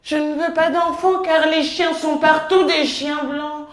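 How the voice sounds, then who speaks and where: A woman performs a poetic monologue on a theatre stage, her voice sitting high. She speaks slowly, in an incantatory way. She moves from hushed whispers to loud declamation. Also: fairly even intonation.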